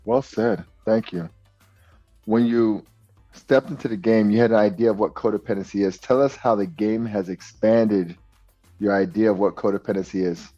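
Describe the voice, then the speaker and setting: A man's voice: lowish voice, steady tone, moderate pace. A man hosting a testimonial interview, affirming and encouraging his guest. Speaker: host and coach. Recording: dull lo-fi sound, soft music.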